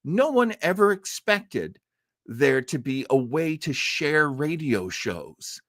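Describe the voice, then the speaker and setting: A man, hosting a livestream with casual, halting, emphatic commentary. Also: fairly high pitch, big pitch swings, a bit bright, no room sound, clean studio sound. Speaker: livestream host. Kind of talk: casual rambling live commentary.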